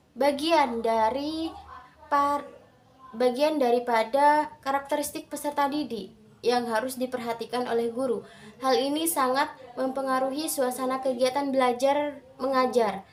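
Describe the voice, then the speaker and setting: A young adult woman giving a prepared student presentation in careful, halting phrases. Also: high voice.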